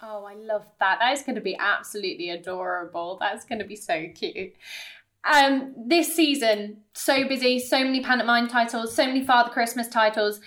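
A woman's voice: on the high side, moderate pace, a bit bright. A woman hosting a podcast interview, chatting casually in an effusive, encouraging way.

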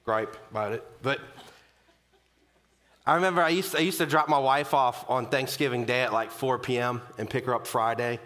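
A man's voice: mid-range voice, normal speed, fairly bright tone. A man preaching to a congregation in a chatty, joking, storytelling way. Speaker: guest preacher. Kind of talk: conversational sermon opening with humor.

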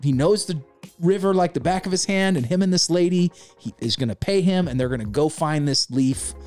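A man's voice: on the high side, brisk, faint music behind. Opinionated man ranting about a movie. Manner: opinionated, rambling, animated retelling. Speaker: podcast co-host. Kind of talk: casual movie review chat.

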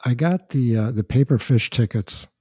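Raspy older man, dark and dry. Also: mid-range voice, normal speed, dry recording. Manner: rambling anecdotes with dry asides. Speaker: podcast co-host. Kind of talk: casual chat, storytelling.

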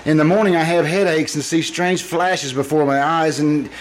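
A man acting a troubled, anxious businessman in an old-time radio drama, speaking in a hesitant, halting way. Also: fairly high pitch, steady tone, natural voice, poor audio. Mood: anxious, earnest. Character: troubled, anxious businessman with frightening memory lapses.

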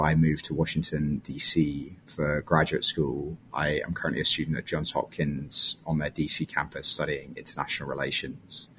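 A man's voice: extremely low pitch, slight background noise. A man, answering interview questions in a friendly, reflective way with small restarts. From London, England. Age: 20s. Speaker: guest.

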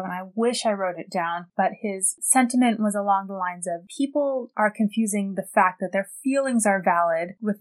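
A woman with a soft voice speaking alone to the camera as a commentator. She talks in a measured, reflective way and lays out her argument step by step. Her pitch sits in the middle range for a woman. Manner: measured, reflective opinion delivery. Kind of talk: solo opinion commentary.

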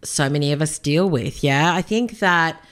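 A woman's voice: sits low, normal speed, fairly bright tone. A woman with an Australian accent hosting her podcast in a chatty, upbeat way, addressing the listener directly, her voice a little nasal from illness. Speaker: podcast host. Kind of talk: casual solo podcast talk.

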